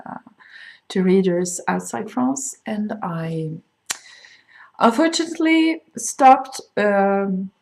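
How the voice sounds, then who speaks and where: A middle-aged woman with a Swedish accent, a crochet designer talking casually to camera and explaining things with frequent pauses and fillers. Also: mid-range voice, gravelly, darkish tone. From Sweden. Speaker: crochet designer hosting a video podcast. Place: home in France.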